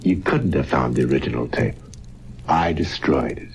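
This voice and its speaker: A man's voice: rumbling low, moderate pace, creaky, a little hiss or hum. A man acting a cornered, smug and defiant murderer in an old-time radio drama. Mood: shaken.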